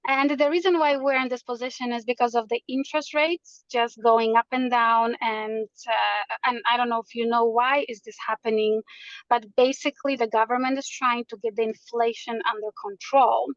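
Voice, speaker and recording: A woman's voice: a little high, fairly even intonation, moderate pace, dry recording, highs cut off. A woman from Poland, a realtor earnestly explaining her background and the market as a guest in an interview. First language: Polish. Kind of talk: interview answers.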